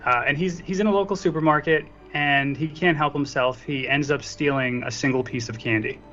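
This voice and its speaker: A man's voice: a little high, brisk, pushed and bright, faint music behind. A man chatting casually as a guest on a podcast. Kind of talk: casual chat. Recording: slightly dull lo-fi mic sound.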